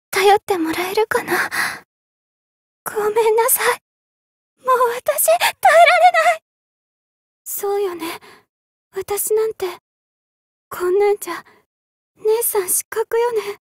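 Timid young anime girl warrior, very high-pitched. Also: animated, slow pace, pauses often, no echo, clean studio sound, full character voice. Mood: meek, sad. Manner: meek, pleading, breaking into cries and battle grunts. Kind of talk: anime fighting game voice acting.

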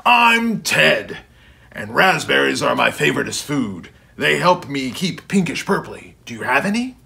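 A man voicing a giant pink imaginary monster friend from a picture book, bellowing, playful and drawn-out with childish enthusiasm. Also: very animated, creaky, pushed and bright, exaggerated voice. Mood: jolly. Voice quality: bellowing, booming.

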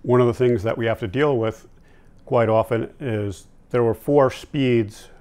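A man in his sixties informally explaining and demonstrating gear, in a conversational, halting way that often backtracks. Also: mid-range voice, darkish tone, clear, resonant tone. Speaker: audio-visual technician and product reviewer presenting vintage tape gear. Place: indoor room at home, seated at a table.

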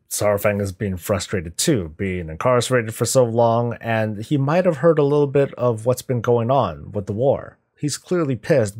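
A man in his thirties hosting a reaction video, giving off-the-cuff analysis in a deliberate, emphatic way. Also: medium pitch, darkish tone, slightly rough.

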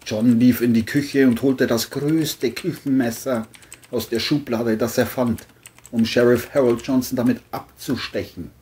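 A woman's voice: extremely low pitch, steady tone, measured pace, dark tone. A woman narrating a scripted crime story.

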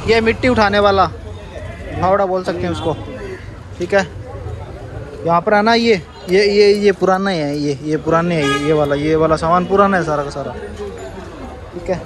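A young man from India with a high voice gives a walking vlog tour of an outdoor market. He keeps pointing things out and repeats little check-ins to his viewers as he goes. Street traffic and loud background noise sit right under his voice. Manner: chatty, pointing things out, repeats "theek hai" and "dekho bhai". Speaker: travel vlogger. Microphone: handheld camera mic.

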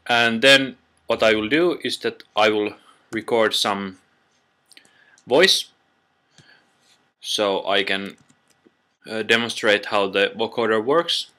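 A man presents a casual software tutorial in a low, unhurried voice with a bright, hard edge. He goes step by step and halts often. The sound comes from a built-in computer microphone. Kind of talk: informal software tutorial narration.

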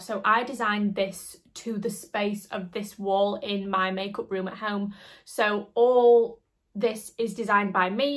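A woman's voice: mid-range voice, a bit bright. A young woman in her twenties, slightly nasal from hay fever, chatting enthusiastically to camera in her makeup room at home. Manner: chatty, enthusiastic, repeats "really, really". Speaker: beauty vlogger. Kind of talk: casual vlog talk to camera. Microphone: camera in front, some close-up product shots.

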